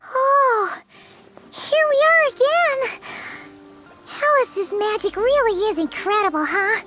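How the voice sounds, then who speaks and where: A woman voicing a small, childlike female fairy companion with a squeaky voice, cheerful, chatty and eager, in a video game cutscene. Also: slow, pushed and bright, full character voice. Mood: excited, reassuring. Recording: music behind the voice.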